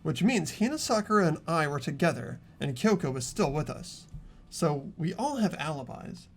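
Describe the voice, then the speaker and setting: A man's voice: fairly high pitch, very animated, normal speed. A man playing an earnest, anxious, kindly teenage boy protagonist in a fan game dub, with a hesitant manner. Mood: reasoning. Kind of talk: amateur game voice acting and narration.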